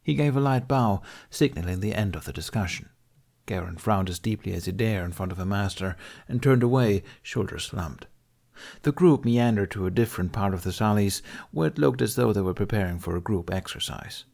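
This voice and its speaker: Low-voiced man narrating a story. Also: brisk, creaky, a little mellow. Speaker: narrator reading fan fiction. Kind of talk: scripted narration, expressive storytelling.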